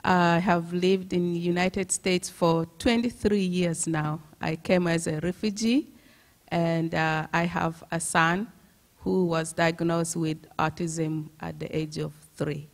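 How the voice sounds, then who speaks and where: A woman from Uganda with a Ugandan accent, a parent introducing herself calmly on a conference panel. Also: lowish voice, takes it slowly.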